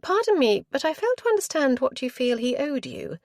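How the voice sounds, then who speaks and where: A brisk Regency aunt, formal and tart, her pitch lively as she questions, voiced by a woman reading a novel aloud. The storybook recording is close and dry. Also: no echo.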